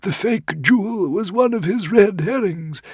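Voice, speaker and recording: Squeaky, nervous little sea dragon. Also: male voice, lively intonation, unhurried, darkish tone, husky, no room sound, clean studio sound, full character voice. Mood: flustered. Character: small nervous ancient male sea dragon.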